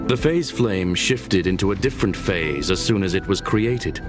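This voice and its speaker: Low-pitched, measured game scholar. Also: male voice, expressive. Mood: explaining. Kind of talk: scripted video game dialogue. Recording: loud music under the voice. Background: game music.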